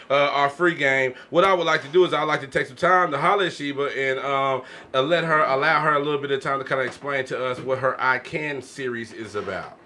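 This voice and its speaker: Bright-voiced American man hosting casually. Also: medium pitch. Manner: slangy, affirming interjections. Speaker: podcast host. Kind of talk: casual chat. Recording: noisy live podcast recording.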